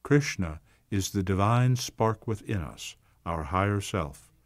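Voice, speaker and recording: A man reading a scripted, expository foreword in a measured, earnest manner. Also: low voice, a little mellow, dry recording, clean studio sound. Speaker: narrator of a foreword.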